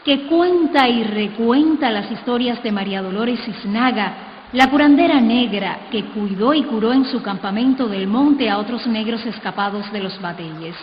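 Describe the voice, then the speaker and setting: A woman's voice: on the high side, lively intonation, normal speed, natural voice. A woman playing a storyteller in a radio docudrama, narrating a folk legend in lyrical, literary phrases. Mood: lyrical storytelling. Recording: muffled noisy playback in reverberant hall.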